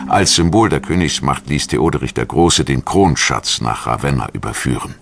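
A man reading scripted documentary narration in a measured, formal manner. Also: rumbling low, expressive, creaky, soft background music.

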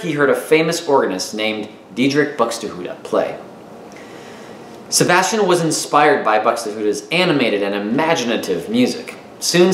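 A man in his thirties reads a children's nonfiction book aloud like a teacher, phrasing it expressively and clearly for young listeners. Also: medium pitch, a bit bright. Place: small room at home, indoors. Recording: roomy echo with music behind. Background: music.

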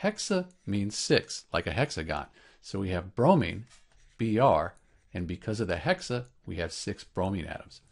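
A man's voice: low voice, very animated, normal speed, gravelly. A man narrating a chemistry lesson as a teacher, explaining step by step in a scripted instructional style. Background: voice-over for a screen tutorial.

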